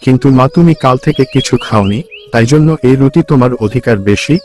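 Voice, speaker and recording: A man's voice: mid-range voice, normal speed, darkish tone, lightly acted. A man playing a poor, kind village labourer and husband in a cartoon folk tale, with an earnest manner.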